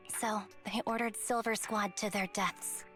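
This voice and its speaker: An actress playing a remorseful young woman soldier and former squad captain in a video game, speaking softly and haltingly with music behind her. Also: medium pitch. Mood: subdued, resigned. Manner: soft, measured, halting, subdued. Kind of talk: dramatic video game voice acting.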